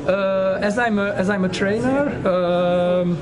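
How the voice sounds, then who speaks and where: A man's voice: sits high, slow pace, a crowd in the background. A man from Hungary who works as a trainer, speaking to camera with many fillers.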